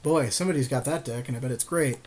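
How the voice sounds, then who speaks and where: Regular mid-pitched guy, slangy casual podcast chat while playing cards. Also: quick pace. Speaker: podcast co-host.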